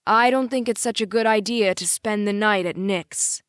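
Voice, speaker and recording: A woman's voice: mid-range voice, normal speed, clear, resonant tone, no room sound, lightly acted. Woman playing father, disapproving, awkward, admonishing, emphatic, audiobook, dry sound. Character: disapproving, awkward, imposing father of a teenage girl. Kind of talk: audiobook character dialogue.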